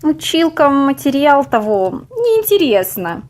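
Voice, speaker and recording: Woman voicing young boy, storybook pupil, defensive, childish complaint, highish pitch, animated. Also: slow pace, full character voice. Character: defensive young schoolboy grumbling about his teacher.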